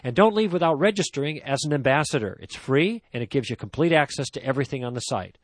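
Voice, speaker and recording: Casting: male host voicing his own ad, mid-pitched, dry close mic. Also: lively intonation, quick pace, no echo. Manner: earnest, persuasive pitch. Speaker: radio show host. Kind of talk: scripted radio ad read.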